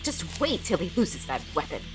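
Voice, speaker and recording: A woman's voice: fairly low pitch, big pitch swings, normal speed, music in the background. A woman playing a bold, suspicious adventuring companion in an audio drama. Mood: defiant.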